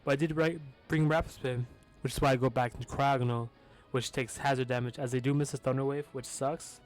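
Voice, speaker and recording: A man's voice: medium pitch, fairly even intonation, a little fast. A young man with a lisp casually commentating his own competitive match, talking loosely with fillers and the odd swear word.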